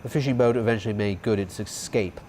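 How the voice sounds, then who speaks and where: A man reading the evening news as anchor, in a steady, formal delivery. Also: lowish voice, fast talker, slight background noise.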